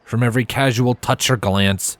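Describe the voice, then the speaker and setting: A man's voice: medium pitch, fairly even intonation, moderate pace, fairly bright tone, no room sound. A man reading a scripted story aloud as its narrator. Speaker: fan fiction narrator. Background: background music.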